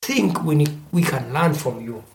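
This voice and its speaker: A podcast host with a Ugandan accent speaks at a middle pitch. The host talks thoughtfully and strings ideas together in long, winding sentences during a relaxed interview. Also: normal speed. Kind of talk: conversational interview.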